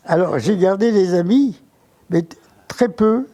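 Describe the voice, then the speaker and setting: An older man recalling his childhood in an interview, halting, with many false starts. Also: high-pitched, warm tone. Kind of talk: reminiscing interview.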